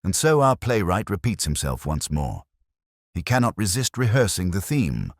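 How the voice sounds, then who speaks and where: A man voicing a mild, thoughtful police inspector in a storybook, calm, deliberate and softly reasoning. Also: low voice, no echo, clean studio sound, voice pushed up, full character voice. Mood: quietly reasoning. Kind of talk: storybook character dialogue.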